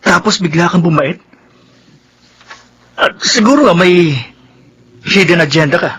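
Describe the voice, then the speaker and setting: An actor playing an upset, earnest young brother in a Filipino radio drama, with a Filipino accent. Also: male voice, sits high, measured pace, fairly bright tone, slight background noise. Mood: accusing.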